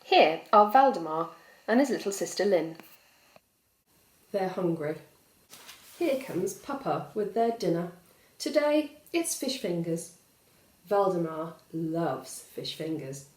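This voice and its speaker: Female children's storyteller: highly varied, expressive intonation, addresses young listeners, roomy recording. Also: slow pace, long pauses. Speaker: storyteller and host. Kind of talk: children's bedtime story reading.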